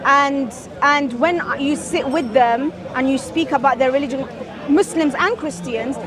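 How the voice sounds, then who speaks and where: A woman's voice: high-pitched, unhurried. A woman of Moroccan origin debating a host outdoors, speaking hesitantly with many restarts. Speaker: passerby guest. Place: busy outdoor public space. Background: crowd chatter.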